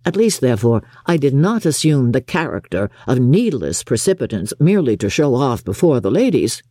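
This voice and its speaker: Woman playing a lively, good-natured young gentleman, animated. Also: very deep voice, normal speed, husky, no room sound, clean studio sound, exaggerated voice. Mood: cheerful protest. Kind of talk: audiobook character acting.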